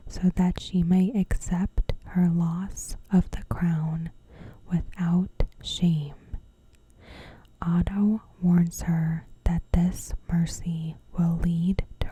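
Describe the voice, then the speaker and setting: Very slow, whispery woman doing ASMR. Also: lowish voice, low-quality recording. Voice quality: whispery, breathy soft voice. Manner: gentle, deliberate, softly spoken in short phrases. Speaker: ASMR creator recapping a TV episode. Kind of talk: ASMR, scripted recap narration.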